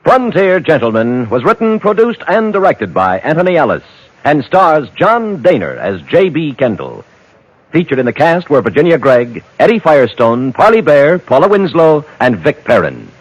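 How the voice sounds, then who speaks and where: A man's voice: fairly high pitch, very animated, normal speed, slight background noise. An American man announcing a scripted 1950s radio show in a formal, dramatic manner. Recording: muffled old radio broadcast with music.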